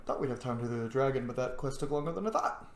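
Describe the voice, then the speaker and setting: A man doing casual let's-play commentary, thinking out loud as he plays a video game. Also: medium pitch, animated, rapid-fire. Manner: thinks out loud, muttering item names. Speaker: video game player narrating a roleplay playthrough. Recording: roomy echo, background noise.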